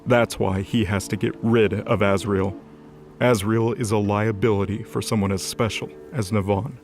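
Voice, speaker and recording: A man's voice: fairly low pitch, fairly even intonation, moderate pace, a little mellow. A man reading scripted, dramatic story narration as the narrator of an audio drama. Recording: music under the voice. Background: music.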